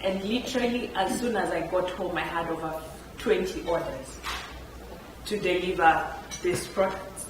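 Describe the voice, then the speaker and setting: Woman, panel storyteller, laughs often, lowish voice, echoey room, distant mic, noisy background. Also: normal speed. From Kenya. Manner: animated, laughs often while telling stories. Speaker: entrepreneur on a panel. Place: event room. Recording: echoey room, picked up from far, background noise.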